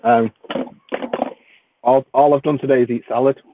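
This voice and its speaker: A man's voice: medium pitch, steady tone, normal speed. A British man talks casually and bluntly, teasing as he goes. The sound is narrow and phone-like, with faint noise and clicks. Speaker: community chat participant. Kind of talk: casual group chat. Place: online voice chat.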